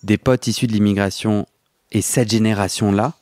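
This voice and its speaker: A man in his late thirties with a Parisian accent, hosting an intimate podcast interview in a reflective, animated, casual way. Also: fairly low pitch, normal speed, no echo. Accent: Parisian French. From mainland France. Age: 30s. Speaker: podcast host.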